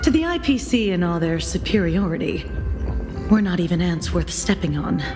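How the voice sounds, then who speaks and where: A woman's voice: fairly low pitch, big pitch swings, moderate pace. An actress playing a cool, skeptical woman in a video game, dry and sardonic with pointed delivery. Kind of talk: scripted video game dialogue. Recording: long reverb, music behind.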